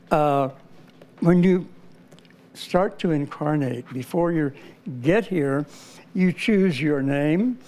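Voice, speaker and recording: Hesitant mellow-voiced male guest. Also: on the high side. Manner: hesitant, many uh fillers and restarts. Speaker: numerology guest. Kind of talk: explanatory interview talk.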